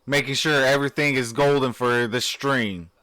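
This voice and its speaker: A man hosting a live stream, reacting to a game and chatting with viewers in a sleepy, just-woken voice, cheering like an excitable fan. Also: medium pitch, moderate pace, clear, resonant tone. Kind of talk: casual live reaction chat.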